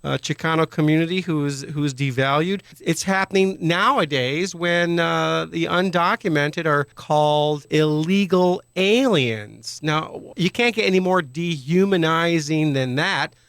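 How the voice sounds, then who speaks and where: A man's voice: a little high, unhurried, vocal fry, hardly any pauses, a bit bright, clean tone, dry recording. A man hosting a radio talk show, interviewing a guest in an earnest, opinionated way. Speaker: radio host.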